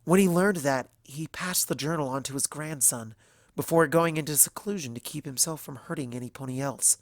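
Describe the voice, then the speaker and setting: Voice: mid-range voice, brisk, no echo, clean studio sound, voice pushed up, natural voice. A narrator voicing a young female unicorn librarian, earnest and nervous as she explains things in a story reading. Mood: nervous explaining.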